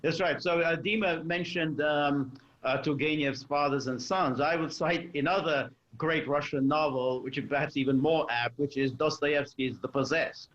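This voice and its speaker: A man with a moderately high voice explains his view as a panel commentator. His delivery is measured and discursive. The audio is a little dull, like a lo-fi remote link. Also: fairly even intonation, a bit bright. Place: remote call for a quarantine show. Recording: dull lo-fi connection.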